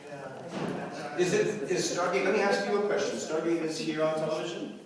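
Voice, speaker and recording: A man's voice: fairly high pitch, normal speed, recorded from a distance. A man answering audience questions on a convention panel, rambling, repeating words and laughing often. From Canada. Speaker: convention panel guest actor. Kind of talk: convention Q&A talk. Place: large convention hall with audience. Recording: echoey hall recorded from afar, crowd noise.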